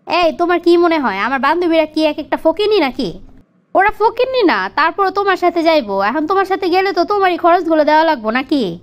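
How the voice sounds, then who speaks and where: A woman's voice: very high voice, lively intonation, fairly quick. A woman voicing a bossy, argumentative young wife in a comic cartoon, with a Bangladeshi accent and colloquial scolding delivery. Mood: indignant. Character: bossy, demanding young wife devoted to her girlfriends.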